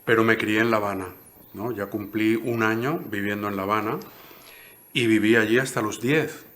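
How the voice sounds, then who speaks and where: Hombre cubano de voz grave y pausada. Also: male voice, fairly low pitch, steady tone, a bit bright. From Cuba. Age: 60s. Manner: thoughtful, frequent "¿no?" tags. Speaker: interview guest, poet. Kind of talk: reflective interview answers.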